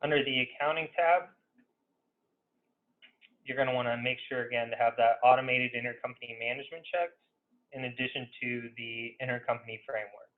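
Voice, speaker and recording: A man giving an informal software demo as a consultant in a webinar, conversational and hesitant, often saying "you know". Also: mid-range voice, fairly even intonation, fairly quick. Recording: narrow phone-like webinar audio.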